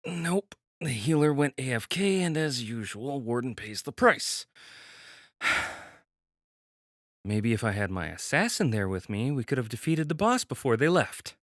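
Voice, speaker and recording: A man playing an excitable, sardonic twenty-eight-year-old male gamer in an audio drama. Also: mid-range voice, measured pace, no echo, studio quality, close to an everyday voice. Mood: dry, grumbling.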